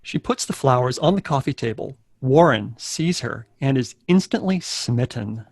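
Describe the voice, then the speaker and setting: A man's voice: medium pitch, lively intonation, normal speed, a little mellow, slightly rough, dry recording, studio quality. A man acting as narrator, reading scripted stage directions for a table read.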